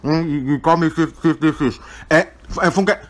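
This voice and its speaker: A man's voice: fairly high pitch, fairly quick, a bit bright. A man doing comic animal voiceovers, stammering and bickering.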